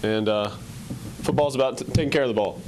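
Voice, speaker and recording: A man's voice: mid-range voice, fast talker, background noise. A man from a football team answering in a casual post-game interview.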